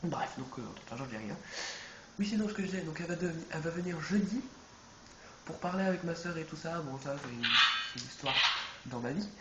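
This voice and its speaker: Brief: man, bit high-pitched, congested from a cold, rambling let's-play chatter, roomy noisy home recording. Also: expressive, fast. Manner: rambling, self-correcting, chatty. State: stuffy with a head cold. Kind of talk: casual gameplay commentary. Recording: echoey room with background noise.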